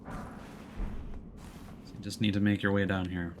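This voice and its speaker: A man giving casual live commentary as he plays a video game, thinking aloud in a halting way. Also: low voice, little pitch movement, background noise. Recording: game music behind the voice. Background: game music.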